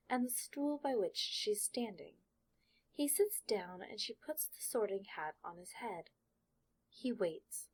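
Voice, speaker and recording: A woman narrating a fan fiction audiobook in an expressive storytelling manner. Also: mid-range voice, slightly rough.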